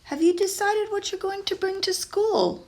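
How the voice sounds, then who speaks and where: A woman's voice: extremely high pitch, normal speed, voice pushed up. A woman reading a storybook and voicing a busy, kindly mother who runs a family bakery. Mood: prompting.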